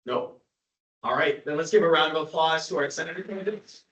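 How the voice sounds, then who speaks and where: A man's voice: a little high, brisk, fairly bright tone, highs cut off. Distant young male moderator in an echoey hall. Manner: announces steps plainly, gives timed instructions. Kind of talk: moderating a candidate forum. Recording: echoey room from far off.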